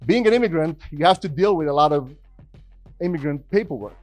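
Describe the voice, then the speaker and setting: A man, giving an energetic, conversational stage talk as a business speaker. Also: on the high side, a little fast, dry recording, faint music behind. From Romania. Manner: energetic, conversational, rising emphasis. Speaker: podcast host and business speaker. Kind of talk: stage presentation to a live audience.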